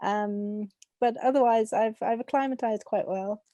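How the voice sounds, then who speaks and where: A woman's voice: a little high, measured pace, warm tone, no echo. A young adult woman with a South African accent, talking casually as an author being interviewed.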